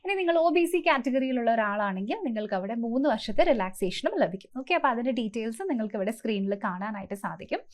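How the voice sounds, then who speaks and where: A woman speaks in a somewhat high-pitched voice. She explains things step by step as a coaching presenter would, in an instructive way. English terms are mixed freely into her sentences. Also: animated, fast, few pauses. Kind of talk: explanatory presentation to camera for exam aspirants.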